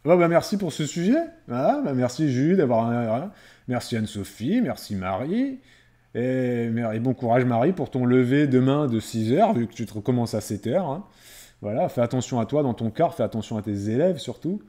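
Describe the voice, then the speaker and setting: Guy live streaming, relaxed mellow voice, medium pitch, chatty, repeats phrases to make his point. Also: moderate pace. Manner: conversational, repeats phrases for emphasis. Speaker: live streamer reading viewers' chat. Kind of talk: casual live-stream chat.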